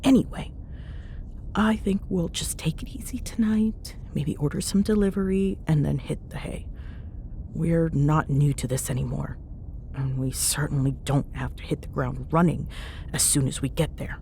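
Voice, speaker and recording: A woman's voice: steady tone, moderate pace, warm tone. A woman playing a teasing, bossy but fond young ghost hunter in an intimate ASMR roleplay, bantering sarcastically in a casual, murmuring way. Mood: relaxed, planning. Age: young adult. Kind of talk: ASMR audio roleplay, intimate one-sided chat. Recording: clear background noise with low rumble.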